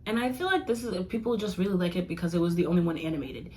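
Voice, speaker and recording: A woman's voice: fairly low pitch, lively intonation, very fast, warm tone. Giggly rambling young woman. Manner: chatty, giggly, rambling with many fillers. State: losing her voice. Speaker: vlogger reviewing comics. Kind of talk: casual rambling review.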